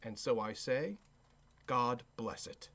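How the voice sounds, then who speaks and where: An actor playing an earnest, kindhearted nephew in a radio play, speaking eloquently and pleadingly. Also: male voice, mid-range voice, takes it slowly, a bit bright. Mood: earnest, heartfelt. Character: earnest, kindhearted nephew, good-humored gentleman.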